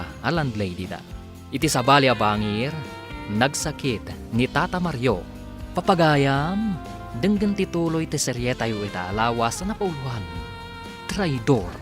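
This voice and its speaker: A man with an Ilocano accent giving dramatic scripted narration as the announcer of a radio drama. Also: medium pitch. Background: music.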